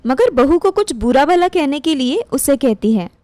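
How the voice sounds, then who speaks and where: A woman's voice: high-pitched, big pitch swings, moderate pace, mellow, no echo. A woman reading a scripted moral story as its narrator.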